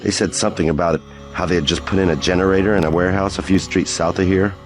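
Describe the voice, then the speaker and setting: A man's voice: low-pitched, steady tone, fast talker, faint music behind, natural voice. A man playing a helpful street informant in a video game, casual and matter-of-fact. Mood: confiding. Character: helpful, low-key male street informant.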